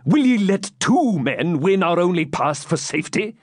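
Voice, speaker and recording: A man's voice: mid-range voice, very animated, normal speed, darkish tone, slightly rough, dry recording, clean studio sound, pitched above the performer's own voice. A man voicing a proud, stern Norman knight in an audiobook, with theatrical archaic speech. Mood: furious rallying. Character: defiant proud Norman knight commander, beaten into sullen submission.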